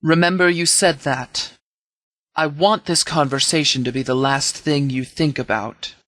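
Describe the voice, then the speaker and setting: A man voicing a stern, authoritative sheriff in a video game, speaking in a measured, commanding, formal way. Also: a little high, fairly even intonation, fairly bright tone, dry recording. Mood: cold threat. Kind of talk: scripted game dialogue.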